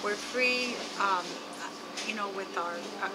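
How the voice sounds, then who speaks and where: A woman in casual conversation, animated and laughing often. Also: medium pitch, slow, heavy background noise.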